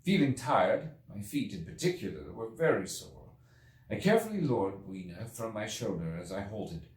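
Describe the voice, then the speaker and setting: An earnest Victorian gentleman traveler, voiced by a male reader, recounts his ordeal in formal, brooding storybook narration. The voice is fairly low and deliberate, set slightly back in an echoing room. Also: very animated. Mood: uneasy, hushed. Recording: echoey room, distant from mic.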